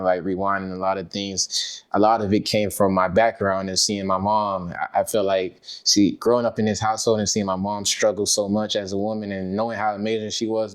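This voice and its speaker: A man with a fairly low voice speaks as a podcast guest. He reflects in a relaxed, informal way, working through his thoughts without hurry. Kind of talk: casual heartfelt conversation.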